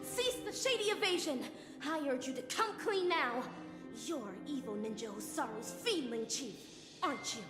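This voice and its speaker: A dramatic ninja-obsessed young woman declaims in old-fashioned phrasing, her high voice leaping animatedly between notes. The game audio rings with long reverb over background music. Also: lively intonation, normal speed. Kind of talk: video game voice acting.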